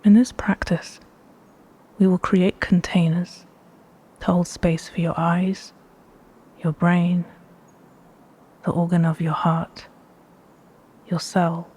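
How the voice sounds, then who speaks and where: A woman with a hushed, breathy voice guiding a meditation in measured, deliberate phrases with pauses between them. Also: fairly low pitch, little pitch movement. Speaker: meditation guide. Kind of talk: slow guided meditation narration.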